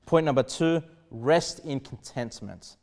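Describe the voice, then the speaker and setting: A man in his thirties, a pastor preaching a sermon in earnest, with sudden emphatic rises and quiet drops. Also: mid-range voice, a little slow. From Australia. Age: 30s. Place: small church studio behind a pulpit desk.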